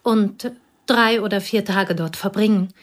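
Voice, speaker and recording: An actress playing a reserved piano teacher from a remote village in a radio drama, terse and dryly ironic. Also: female voice, medium pitch, a little slow, close to an everyday voice. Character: reserved provincial female piano teacher, guarded and dry.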